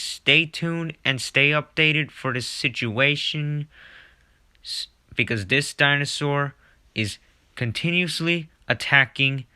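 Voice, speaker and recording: A man's voice: medium pitch, bright, forceful tone, clean tone, no echo, clean studio sound. A man playing a chatty breaking-news anchor in an amateur mock news report, speaking informally.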